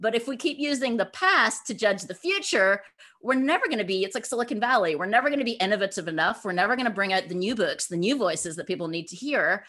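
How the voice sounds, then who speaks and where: A woman gives conversational answers as an interview guest. Her voice sits at an average pitch for a woman. She speaks informally and with emphasis, in an animated, storytelling way. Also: quick pace. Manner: emphatic, informal, acts out imagined dialogue. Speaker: guest author and podcaster.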